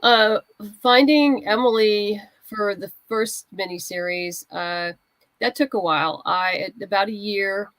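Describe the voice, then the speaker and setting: A woman's voice: mid-range voice, fairly bright tone, dry recording, low-quality recording. Woman with a dry, close studio sound. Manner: practical, conversational advice-giving. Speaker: guest writer and comics creator. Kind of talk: casual interview chat.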